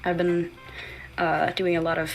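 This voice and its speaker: A woman's voice: on the low side, completely flat delivery, measured pace, soft background music, poor audio. A woman in casual livestream chat, thinking aloud hesitantly and prone to giggling. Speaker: livestream panel guest, fan story creator.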